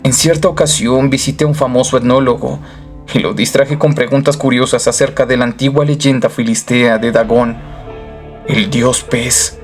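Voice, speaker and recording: Horror radio drama: male actor as a tormented man on the edge of madness, solemn and theatrical, mid-pitched, music bed clearly present. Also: steady tone, moderate pace, lightly acted. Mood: grave, subdued. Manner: solemn, theatrical first-person storytelling. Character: tormented, haunted male narrator sliding into madness.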